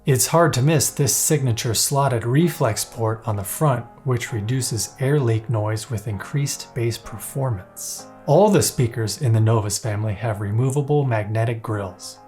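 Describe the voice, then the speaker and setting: A man narrating a product review in a scripted, upbeat way, playful and openly excited. His pitch sits in an ordinary middle range. Soft music plays faintly underneath. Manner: playful, enthusiastic.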